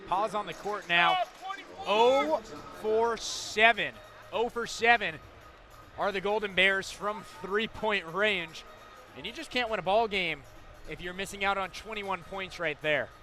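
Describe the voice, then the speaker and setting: Male, high voice, basketball color commentator, live sports analysis, music in the background. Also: unhurried, bright, forceful tone.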